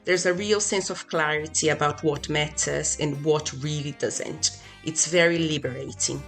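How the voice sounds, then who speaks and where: A woman with a strikingly low voice, from Brazil and a native Portuguese speaker. She speaks as a podcast guest in an even, read-aloud way. Faint music plays underneath her. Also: moderate pace. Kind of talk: scripted podcast conversation.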